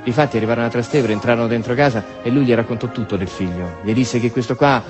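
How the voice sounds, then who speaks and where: Fast-talking Roman man telling stories. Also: mid-range voice, over music. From Rome, Italy. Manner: rambling, repetitive, listing, with tag questions. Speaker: monologue storyteller, stage actor. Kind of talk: colloquial theatrical war-story monologue.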